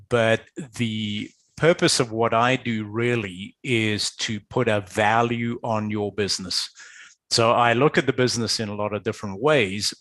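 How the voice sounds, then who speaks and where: Man from Zimbabwe, low voice, measured expert explainer on a dry call line. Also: fairly bright tone, no room sound. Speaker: guest expert, business valuator. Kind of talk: interview answers. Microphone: video call.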